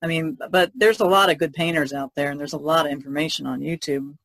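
Creaky-voiced woman chatting. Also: sits low, steady tone, fast talker, fairly bright tone, no room sound. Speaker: podcast co-host, painter. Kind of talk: casual podcast chat.

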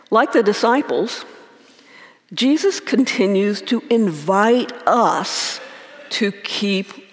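A woman in her sixties, a priest giving a calm, measured sermon at a church pulpit microphone, in a lightly voice. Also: on the low side. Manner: calm, measured, gentle repetition. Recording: long church reverb.

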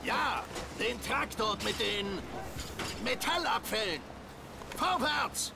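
High, gravelly barking officer. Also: male voice, expressive, takes it slowly, noisy background, full character voice. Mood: impatient. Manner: commanding, brusque. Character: barking, impatient army officer. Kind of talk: dubbed video game dialogue.

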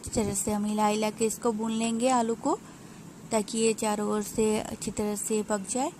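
A woman narrating a home cooking recipe step by step in an unhurried, explanatory way, pausing with small hesitations. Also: medium pitch, steady tone, warm tone, slight background noise. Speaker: home cook.

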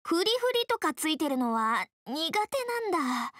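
High, bright, brash tomboy girl. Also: very animated, a little slow, no echo, studio quality, heavily acted. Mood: bashful. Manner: rough masculine slangy speech, blunt. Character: brash tomboyish festival girl, hearty, easily flustered. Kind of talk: video game character voice lines.

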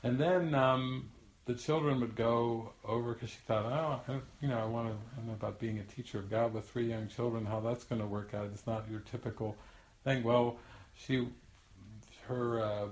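A man's voice: mid-range voice, fairly even intonation, moderate pace. An older man, a spiritual teacher, telling a long anecdote in a rambling, chatty way with frequent restarts and laughter. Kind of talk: storytelling teaching talk. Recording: echoey room.

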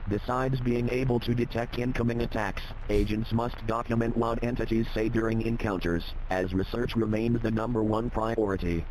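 Guy voicing a cold stern male training tape narrator for a horror game, formal, mid pitch, bit of noise behind. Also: fairly even intonation, moderate pace, few pauses, a little mellow, close to an everyday voice, poor audio. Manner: formal, impersonal. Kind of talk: scripted instructional narration.

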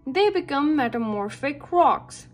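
A woman reading a nonfiction picture book aloud for children, with careful, deliberate and expressive phrasing. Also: high-pitched. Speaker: read-aloud narrator for children. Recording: background music under the voice. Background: music.

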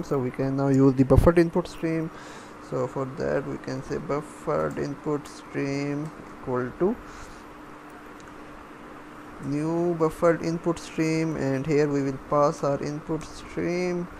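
A man giving a coding screencast tutorial, dictating step by step in short, halting phrases. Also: a little high. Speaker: programming tutorial instructor. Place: voice-over for a screen recording. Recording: clear steady background noise.

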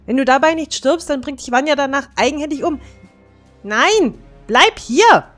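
A small, protective teenage girl from a fantasy audio drama, played by a woman. Her high voice swings widely with anxious urgency. Faint music runs underneath. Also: lively intonation, moderate pace, a bit bright. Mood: worried, pleading. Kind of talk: fan-made fantasy audio drama acting.

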